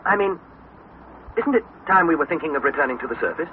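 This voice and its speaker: An actor playing an earnest, wondering young nephew on an expedition, in crisp 1960s radio drama style. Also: male voice, fairly high pitch, lively intonation, quick pace, lightly acted. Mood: questioning, uneasy. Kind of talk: 1960s radio drama acting with scripted narration. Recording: tinny, hissy vintage radio broadcast.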